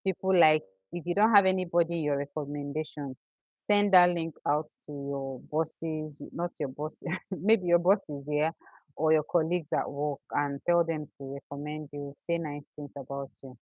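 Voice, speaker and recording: A woman's voice: low voice, fairly even intonation, moderate pace, a little mellow, no room sound, muffled recording. A young Nigerian woman with a Nigerian accent, chatting casually as she answers viewers' questions on a livestream. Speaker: livestream host.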